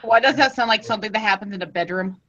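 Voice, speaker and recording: A woman in her thirties co-hosting a casual podcast, playful and quick to laugh. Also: medium pitch, fairly bright tone, dull audio. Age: 30s. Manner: playful, exclaiming, laughs often. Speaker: podcast co-host. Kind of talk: casual comedic chat.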